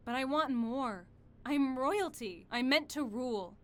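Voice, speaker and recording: A woman's voice: high-pitched, animated, unhurried, fairly bright tone. A woman playing an ambitious exiled royal in an audio drama, with an imperious, earnest and assured way of talking. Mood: proud, defiant. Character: proud, ambitious exiled young female royal, would-be conqueror.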